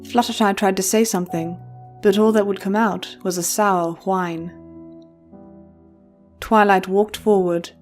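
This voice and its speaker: A woman's voice: mid-range voice, fairly even intonation, a little slow, long pauses, soft background music. Casting: woman storyteller for narrated fiction, mid-range voice, light musical backing.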